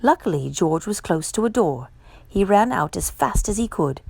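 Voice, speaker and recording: A woman reading a children's picture book aloud with measured, emphatic storyteller phrasing. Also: lowish voice, expressive, gravelly, a little mellow, no echo. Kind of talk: expressive children's read-aloud narration.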